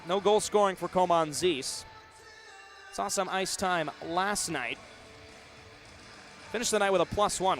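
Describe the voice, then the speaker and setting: A young man in his twenties works as a hockey play-by-play announcer on radio. His voice sits fairly high for a man. He narrates the action live in short, vivid bursts. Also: fairly bright tone. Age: 20s. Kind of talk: live radio sports commentary.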